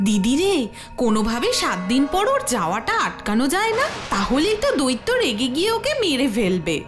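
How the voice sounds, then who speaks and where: The jealous sister plotting in a corner of an animated fairy tale, her high, insinuating voice carried over music. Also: fairly quick. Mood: scheming. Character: jealous, scheming elder sister feigning concern. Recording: music behind the voice.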